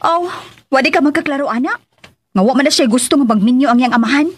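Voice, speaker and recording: A scheming, lovestruck young daughter in a radio drama. Her voice is high and girlish, coy and bold. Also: fast, slightly rough. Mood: curious.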